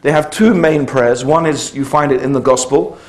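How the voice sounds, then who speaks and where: A man in his fifties speaks in a middle-pitched voice, neither deep nor high. He recounts his early life in a relaxed, digressive way, pausing on fillers and starting phrases over. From United Kingdom. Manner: conversational, digressive, with many fillers and restarts. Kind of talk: public talk, personal storytelling.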